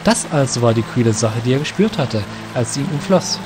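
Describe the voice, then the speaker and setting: A man narrates a scripted fantasy audio play. His voice sits at an average pitch for a man. Music is clearly heard beneath the storytelling. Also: lively intonation, brisk.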